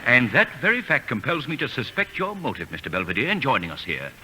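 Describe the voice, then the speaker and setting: Actor as flustered, wildly swooping university dean. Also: male voice, medium pitch, big pitch swings, quick pace, natural voice. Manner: stammering, polite, exclamatory. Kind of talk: 1940s radio comedy acting. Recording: noisy old radio broadcast recording.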